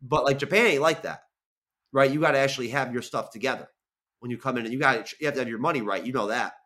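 A man's voice: mid-range voice, fast talker, a bit bright. A man of forty-one, a guest on a video call, talking casually, rambling with lots of restarts and easy swearing. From Florida. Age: 40s. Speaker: guest, content creator. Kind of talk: casual interview chat.

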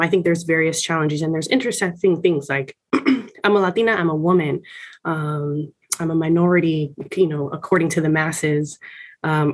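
A woman from Miami speaking in a conversational interview, explaining things from experience in an articulate, emphatic, self-assured way. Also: fairly low pitch. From Miami, United States. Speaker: senior college administrator being interviewed.

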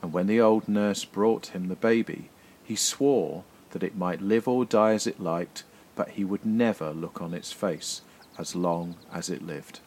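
A man narrates a fairy tale. He reads in a measured, literary storybook style. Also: low-pitched, a little mellow, a little hiss or hum. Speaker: guest story reader, poet.

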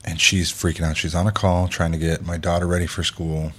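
A man in his thirties with a very deep voice hosts a casual chat. He tells stories with energy, voices the people in them, and swears freely. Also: a little fast, breathy edge. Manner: animated storyteller, acts out quotes, swears freely. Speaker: podcast host.

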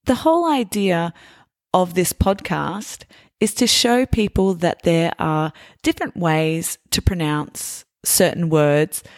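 Slow, careful woman teaching. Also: fairly low pitch, no room sound, clean studio sound. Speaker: podcast host. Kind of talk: scripted explanation for learners.

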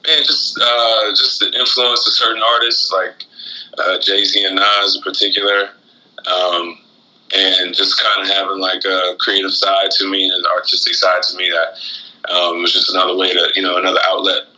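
Bright-voiced, low-pitched man, halting delivery. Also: little pitch movement, low-quality recording. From Florida, United States, born in Guyana. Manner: halting, reflective. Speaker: interview guest, rapper and shoe designer. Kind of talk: casual interview chat.

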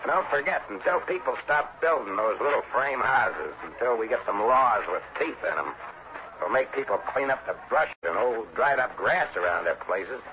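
An actor playing an exasperated county fire chief in an early 1960s radio drama, folksy, opinionated and emphatic. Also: male voice, mid-range voice, lively intonation, moderate pace, bright, forceful tone. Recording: dull, faintly noisy vintage radio recording.

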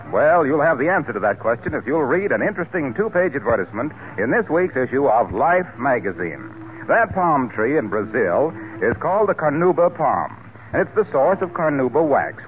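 Animated 1940s radio announcer, male. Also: medium pitch. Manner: polished, declamatory salesmanship. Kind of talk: 1940s radio announcing, scripted sponsor reads. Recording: tinny, noisy vintage 1940s radio broadcast.

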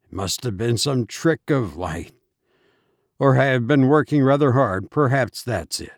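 A shaken husband from a horror storybook, acted by a male reader, in a mid-pitched voice. He sounds overwrought and defensive, as if insisting on what he has seen. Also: expressive, a little slow, no echo, studio quality. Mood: relieved, sheepish. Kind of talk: dramatised storybook reading.

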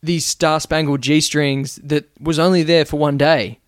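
A man's voice: on the high side, steady tone, a little slow, dry recording, clean studio sound. A man with an Australian accent, a podcast guest telling jokey stories in casual chat, swearing freely. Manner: swearing, joking, storytelling.

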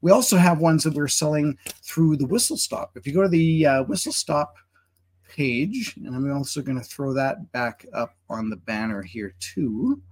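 A man hosts a live webinar in a chatty, promotional way, his voice sitting a little high for a man. He fills pauses with plenty of filler words. The sound is a video call mic. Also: animated, a little mellow. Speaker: webinar host. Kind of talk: live webinar hosting and introduction.

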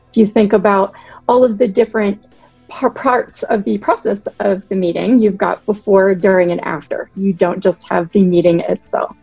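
A woman from Boston in her thirties, a podcast guest giving articulate, measured explanations of her work. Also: medium pitch, gravelly, mellow, breathy edge, no echo, muffled recording. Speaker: guest, product director. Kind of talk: podcast interview.

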